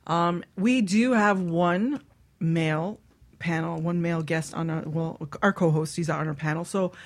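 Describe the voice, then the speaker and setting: A woman's voice: lowish voice, steady tone, a little slow. A woman hosting a radio show, chatting casually and bawdily in a frank, teasing way. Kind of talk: bawdy casual panel chat.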